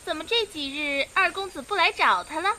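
Woman playing a squeaky-high, gossipy young maid. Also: expressive, a little fast, sharp tone, exaggerated voice. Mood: gossiping. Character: young gossipy maidservant in old China, excitable, nosy. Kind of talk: Chinese audio drama acting. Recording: clear music behind the voice.

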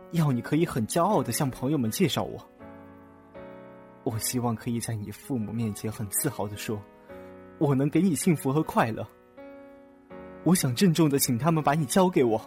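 A young man playing a caring, gently teasing but proud boyfriend in a Mandarin radio drama. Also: medium pitch, natural voice. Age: young adult.

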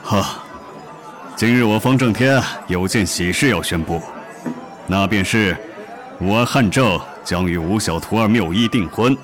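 Man acting a low-voiced, stately old wuxia master. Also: unhurried, over music, crowd noise, close to an everyday voice. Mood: hearty, proud. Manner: formal, stately, hearty patriarch. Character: genial sixty-year-old male martial sect master. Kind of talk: Chinese wuxia audio drama acting.

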